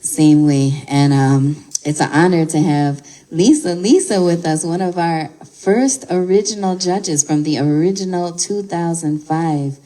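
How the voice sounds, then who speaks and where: A woman DJ with a Chicago accent, hosting a casual interview and giving shout-outs with many fillers. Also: deep voice, unhurried, hardly any pauses, poor audio. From United States. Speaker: DJ and promoter hosting the talk.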